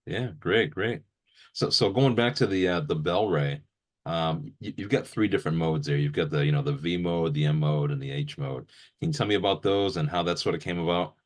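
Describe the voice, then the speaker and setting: A man's voice: low-pitched, a little fast. A man hosting a gear show, chatting casually and agreeably as an interviewer and often repeating his phrases.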